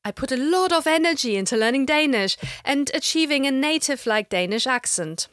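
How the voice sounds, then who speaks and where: A middle-aged woman with a slight German accent, giving a measured, explanatory lecture. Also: high-pitched, lively intonation, a bit bright, no room sound, clean studio sound. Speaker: language educator. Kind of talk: scripted lecture narration.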